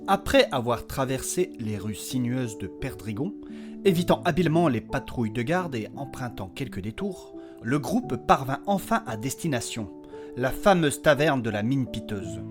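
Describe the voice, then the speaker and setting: A man's voice: medium pitch, very animated, moderate pace. A man giving scripted storybook narration as the narrator of a fantasy audio series. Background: loud music.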